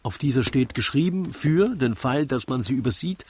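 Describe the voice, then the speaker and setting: A man narrating a radio play in rhymed verse, with measured, deliberate storytelling. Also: mid-range voice, lively intonation, slight background noise. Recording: loud music under the voice. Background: loud music.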